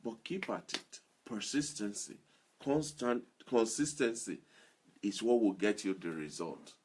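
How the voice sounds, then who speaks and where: A man's voice: lowish voice, a little slow, darkish tone, slight background noise. A man giving an earnest motivational talk as a self-improvement speaker, repeating key phrases for emphasis.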